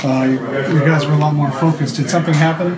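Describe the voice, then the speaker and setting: A man's voice: fairly high pitch, steady tone, quick pace, heavy background noise. A man asking a player conversational questions after a game. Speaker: reporter. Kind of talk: post-game interview questions. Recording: echoey room, loud crowd chatter.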